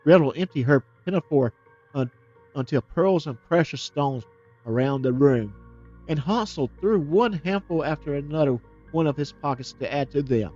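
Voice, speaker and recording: Expressive male storybook reader. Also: fairly high pitch, normal speed, no echo, muffled recording, faint music behind. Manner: expressive, with small slips and restarts. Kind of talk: storybook reading.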